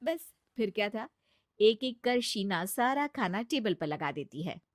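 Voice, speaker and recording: A woman narrating a scripted family story in an expressive storytelling style. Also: mid-range voice, unhurried, no room sound, studio quality.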